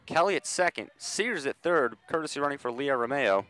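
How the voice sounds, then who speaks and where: A man calling a softball game live on the radio, measured between plays and ready to burst into excited calls. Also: medium pitch. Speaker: play-by-play sports commentator.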